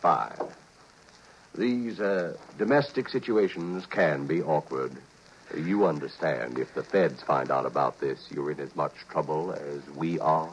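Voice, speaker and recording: An actor in a 1950s radio drama playing a sly, small-time dealer of illegal copies who smoothly calls his customer brother. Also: a bass voice, a little slow, slight background noise. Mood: wheedling. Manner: smooth, ingratiating, keeps saying brother. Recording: muffled vintage radio broadcast.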